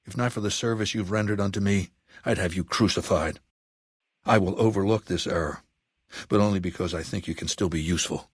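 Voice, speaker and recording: An actor playing an imperious, cruel middle-aged warlord dictator in a video game, speaking with commanding, sardonic deliberation. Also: male voice, deep voice, brisk, husky, no room sound, clean studio sound. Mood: stern, commanding. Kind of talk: video game character dialogue.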